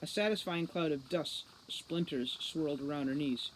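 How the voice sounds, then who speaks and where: A woman narrating a novel aloud as a storybook reader, in an expressive style. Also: low voice, moderate pace, slight background noise, low-quality recording. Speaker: audiobook reader.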